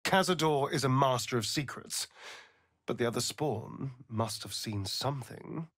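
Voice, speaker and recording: An actor playing a vain, sardonic vampire rogue in a video game, with a British accent and an arch, aristocratic, theatrical delivery. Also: male voice, mid-range voice, a little slow, a bit bright, no room sound, studio quality. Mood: arch, wry. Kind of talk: theatrical game character dialogue.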